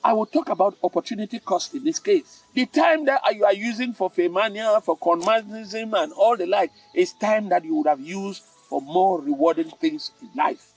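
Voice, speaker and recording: An older man with a Cameroonian accent tells stories and draws morals from them. His voice sits a little high, and he speaks theatrically, leaning on repetition and dramatic pauses. He is recorded at some distance from the microphone, with music in the recording. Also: gravelly. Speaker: storytelling host. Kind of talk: spoken storytelling with moral advice. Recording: roomy, distant mic with music.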